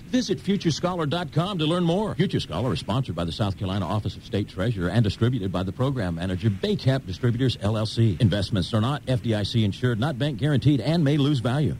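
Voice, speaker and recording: A man reading scripted advertisement narration in a measured, formal way. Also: mid-range voice, darkish tone, slight background noise. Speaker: commercial announcer.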